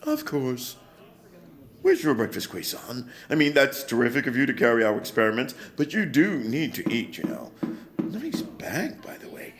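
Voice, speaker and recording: A man's voice: medium pitch, huge pitch swings, moderate pace. A man playing a flamboyant, sugary, sweet-talking salesperson in a sci-fi circus audio drama, with a theatrical, effusive delivery. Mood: fussing.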